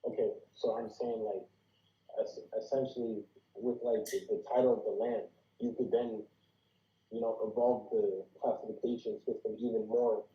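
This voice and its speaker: A man's voice: on the low side, little pitch movement, moderate pace. A man giving casual feedback in a group discussion over a video call. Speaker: participant giving script feedback. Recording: muffled, echoey video-call audio.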